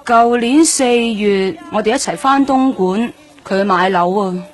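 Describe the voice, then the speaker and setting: An actress playing a jaded, streetwise nightlife hostess in a Cantonese radio drama, talking in a blunt, offhand, slangy way. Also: female voice, mid-range voice, a little slow, lightly acted. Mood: jaded, flippant. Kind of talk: radio drama acting, colloquial dialogue.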